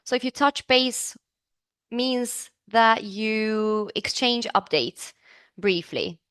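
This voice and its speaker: A woman's voice: a little high, takes it slowly, a bit bright, clear, resonant tone, no echo, clean studio sound. A woman from Serbia, teaching business English in a live online class, upbeat and chatty, asking the class questions.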